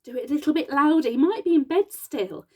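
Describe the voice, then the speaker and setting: Soft-spoken, encouraging woman hosting puppets. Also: high-pitched, animated, brisk, background noise. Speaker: puppeteer and children's storyteller. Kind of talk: gentle chat with puppets for children.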